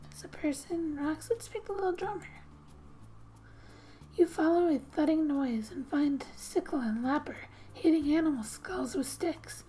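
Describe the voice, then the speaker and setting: A soft-spoken woman reading game text aloud in a gentle, hushed ASMR style with musing asides, often slipping into a whisper. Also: high voice. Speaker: gaming ASMR host.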